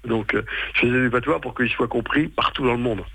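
Male stage performer, sixties, native patois speaker. Gravelly, bright voice, hesitant and colloquial interview talk. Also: medium pitch, a little fast, dry recording. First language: western French patois. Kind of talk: casual radio interview.

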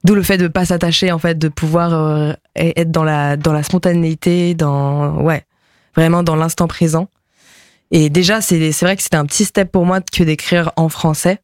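A musician raised near Nantes whose first language is Japanese, answering interview questions hesitantly with many fillers. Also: male voice, on the high side, little pitch movement, normal speed, smooth, no echo, studio quality. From France, near Nantes. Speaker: musician guest.

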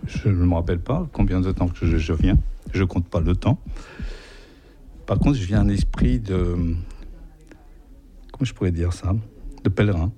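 A man from Portugal speaks French with a Portuguese accent. His voice is low and mellow. He answers haltingly, often stopping to search for words. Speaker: radio volunteer. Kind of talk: interview answers.

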